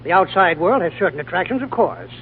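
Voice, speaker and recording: A man's voice: high voice, huge pitch swings, quick pace, heavily acted. An actor playing a breezy, evasive male traveler in a vintage radio drama. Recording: tinny old radio broadcast, faint hiss.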